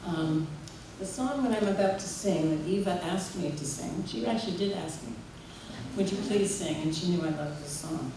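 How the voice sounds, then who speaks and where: A woman giving a warm, chatty tribute at a memorial gathering, telling stories and leading the room in song. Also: fairly low pitch, moderate pace. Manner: warm, chatty, leads group singing. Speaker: memorial speaker and singer. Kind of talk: heartfelt spoken tribute. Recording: echoey hall from far off, noisy room.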